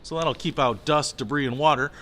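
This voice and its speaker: A man's voice: on the high side, fairly quick, bright, forceful tone. A man presenting a product review in an upbeat, informal, colloquial way, talking through a hands-on demonstration. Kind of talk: casual product demonstration to camera.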